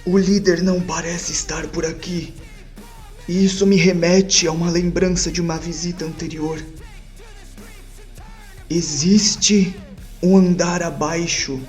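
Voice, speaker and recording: A man plays an analytical male professor in a tabletop game drama. He sounds mellow and articulate, with measured, formal phrasing over faint music. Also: fairly high pitch, steady tone, voice pushed up. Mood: certain, urgent. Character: scholarly male professor, analytical and fascinated by fanaticism.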